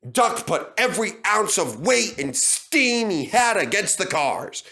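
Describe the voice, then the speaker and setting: Male storybook narrator: dramatic scripted read, bright forceful tone, animated pitch. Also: unhurried, gravelly.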